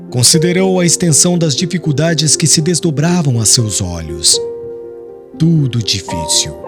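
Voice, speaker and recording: A man narrating an audiobook novel in a solemn, literary, dramatic reading style with carefully measured phrasing. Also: on the high side.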